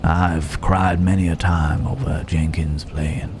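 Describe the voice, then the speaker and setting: A man playing a gallant yet menacing Wild West cowboy in an intimate ASMR roleplay, unhurried, with pauses and folksy old-fashioned phrasing. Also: extremely low pitch, level tone, noisy background, heavily acted. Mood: sombre, nostalgic. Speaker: voice actor in an audio roleplay.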